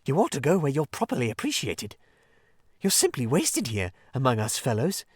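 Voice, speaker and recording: A man doing a sharp, sarcastic storybook water rat. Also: medium pitch, huge pitch swings, breathy edge, no echo, studio quality, voice pushed up. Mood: mocking sarcasm. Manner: sarcastic, brisk, bossy. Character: quick sharp-tongued male water rat. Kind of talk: storybook reading.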